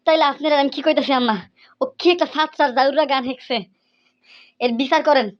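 A woman's voice: high voice, fairly quick, dry recording, muffled recording, close to an everyday voice. A woman voicing a hot-tempered, threatening village mother in a comic cartoon, scolding and blustering with a Bangladeshi accent. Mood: indignant.

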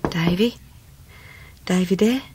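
A loyal Victorian nursemaid in a radio drama. Her English voice is fairly low and husky, with a halting, homely, tender way of talking. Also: darkish tone, full character voice. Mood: secretive. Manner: halting, tender, plain-spoken. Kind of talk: 1990s radio drama acting.

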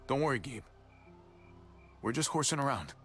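A man playing an apologetic, halting, guilt-ridden younger brother in a cinematic video game drama. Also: medium pitch, expressive, fairly bright tone, natural voice. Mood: subdued, earnest. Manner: pleading, halting. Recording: music underneath.

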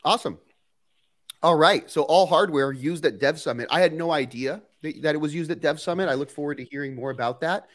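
A man in his thirties hosting a live stream, upbeat and energetic, talking with a stuffy nose from a cold into a studio mic in a home workshop. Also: a little high, fairly quick. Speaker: host. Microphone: headphones and studio mic on boom.

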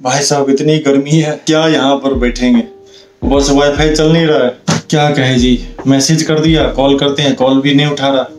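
A man's voice: mid-range voice, fairly even intonation, moderate pace. A man, chatting and teasing casually as he vlogs around his house on a handheld phone. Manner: teasing, chatty, laughs often. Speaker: vlogger host. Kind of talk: casual home vlog chat. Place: indoor home rooms. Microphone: phone held at arm's length. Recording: echoey room with music.

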